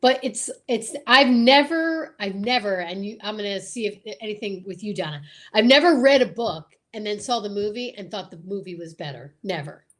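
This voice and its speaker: A woman's voice: mid-range voice, expressive, moderate pace, few pauses, a bit bright. A woman hosting a casual live chat, speaking in an enthusiastic, emphatic way and often repeating words for stress. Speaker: host of a radio drama group's live chat.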